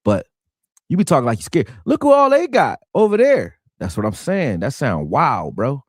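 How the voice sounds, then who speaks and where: A man's voice: mid-range voice, normal speed, dry recording. Philly guy ranting sports live, voice jumps all over the place, dry close mic. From Philadelphia. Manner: animated, conversational, heavy "you know what I'm saying". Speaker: sports show host. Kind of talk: casual live sports talk.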